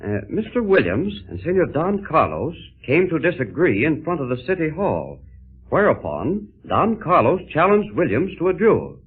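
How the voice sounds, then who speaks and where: A man's voice: a little high, huge pitch swings, moderate pace, husky, heavily acted. A man playing a wry, plucky cameraman adventurer with a dry, clipped manner in a 1930s radio serial. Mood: reading aloud. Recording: tinny, narrow-band 1930s radio recording.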